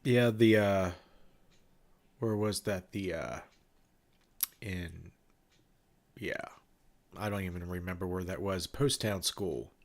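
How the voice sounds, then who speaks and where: A man's voice: low voice, slow, pauses often. A man with a stuffed-up, nasal voice from a cold, chatting loosely with viewers in a livestream, rambling with fillers and restarts. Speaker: livestream host and ghost-hunting event organizer. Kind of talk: casual livestream chat with viewers.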